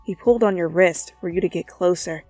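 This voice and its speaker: A woman's voice: fairly low pitch, a little fast, darkish tone, dry recording. A woman reading fanfiction as a channel host, with dramatic narration and goofy, self-mocking, casual asides. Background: faint music.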